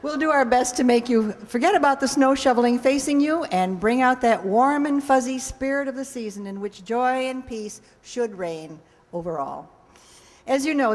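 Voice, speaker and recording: A woman with a slightly high voice introduces a live show in a reverberant hall. She reads from a script in a folksy, lightly joking way, speaking to an audience. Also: darkish tone. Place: hall stage before an audience.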